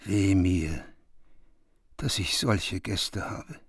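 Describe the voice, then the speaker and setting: An actor playing a bitterly tormented lord in a radio play, lamenting with laboured speech. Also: male voice, low-pitched, drawn-out words, exaggerated voice. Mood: bitter despair. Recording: distant from mic, faint music.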